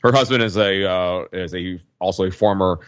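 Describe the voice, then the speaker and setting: A man's voice: low voice, moderate pace, clear, resonant tone, no room sound. An American man on a podcast panel giving a casual, informal recap with repeated starts, on a slightly muffled mic.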